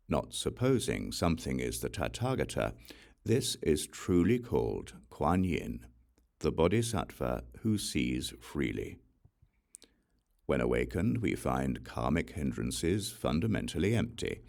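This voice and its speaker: A man reading an audiobook of sacred verse in a solemn, deliberate recitation with measured phrasing and pauses. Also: extremely low pitch, vocal fry, a little mellow. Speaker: audiobook reader.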